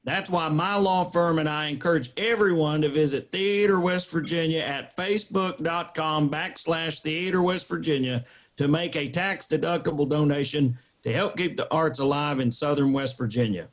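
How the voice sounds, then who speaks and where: A man's voice: fairly high pitch, moderate pace, lightly acted. A man playing an evasive, pompous small-town lawyer in a comic radio drama, talking in wordy legal phrases. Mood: formal, smug. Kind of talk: comic old-time radio drama acting.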